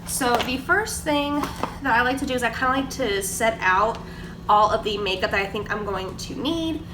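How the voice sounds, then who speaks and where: A woman's voice: a little high, moderate pace. A young woman, stuffy from a cold, chatting casually through her makeup routine at her kitchen table. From Georgia, US. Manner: chatty, filler-heavy, explaining products. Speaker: beauty vlogger. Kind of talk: casual get-ready-with-me chat. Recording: echoey room, distant mic, background noise.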